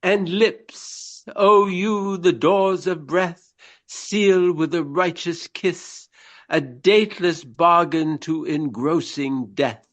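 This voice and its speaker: An actor playing a courtly, earnest Regency gentleman actor in a radio play, declaiming in a florid, formal and theatrical manner. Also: a little high, takes it slowly. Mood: mournful, declaiming.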